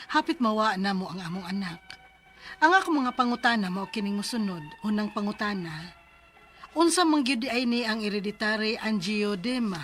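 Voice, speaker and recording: A woman's voice: a little high, fairly bright tone. An actress playing an anguished mother in an emotional, pleading radio drama style. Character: anguished mother pleading for her sick adult child. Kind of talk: 90s Bisaya radio drama acting.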